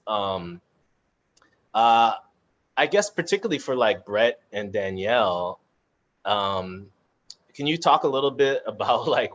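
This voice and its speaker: A man moderating a casual live online panel as a cast member, halting and searching for words as he speaks. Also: lowish voice. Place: online video call. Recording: muffled, dull video-call audio.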